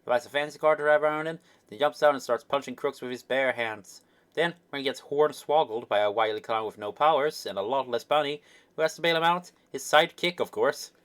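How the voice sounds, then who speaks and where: A man acts out an earnest young comic character who loves sidekicks. He rambles indignantly and opinionatedly, tossing in quaint slang at a middling pitch. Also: fairly quick, a bit bright, lightly acted.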